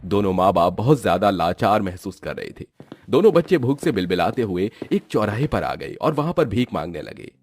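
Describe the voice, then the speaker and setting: Man, deep voice, scripted storybook narration, cartoon story. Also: very animated, moderate pace, a little mellow.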